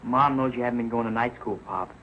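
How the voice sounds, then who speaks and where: A man's voice: medium pitch, quick pace, close to an everyday voice. A male actor playing an earnest, loyal young son in a 1940s television comedy, eager and easily dismayed. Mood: covering for father. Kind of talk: 1940s television sitcom acting. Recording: muffled, noisy old 1940s TV soundtrack.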